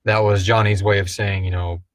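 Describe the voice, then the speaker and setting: A man hosting a casual question and answer show, talking like an animated storyteller. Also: fairly low pitch, brisk, no echo, clean studio sound. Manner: animated storyteller, acts out quotes, swears casually. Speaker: host of an audio drama Q&A show. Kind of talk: casual fan Q&A chat.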